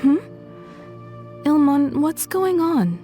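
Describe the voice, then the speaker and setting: A gentle, courteous mother from an anime-style game. The voice is mellow and fairly high, soft-spoken, with faint music behind. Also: sing-song, slow, heavily acted. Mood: worried. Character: gentle, polite young mother and devoted wife.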